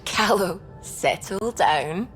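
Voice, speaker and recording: Slow-talking, composed crewmate. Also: female voice, mid-range voice, animated, fairly bright tone, soft background music. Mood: fond, amused. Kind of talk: video game voice acting.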